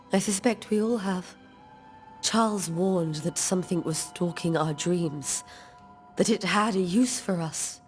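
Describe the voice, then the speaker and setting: Actress as a slow-speaking, grief-stricken colonial widow. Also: female voice, medium pitch, a little mellow, close to an everyday voice. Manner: sorrowful, deliberate, formal. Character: grieving, haunted colonial widow, fragile and devout. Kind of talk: video game cutscene acting. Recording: music under the dialogue.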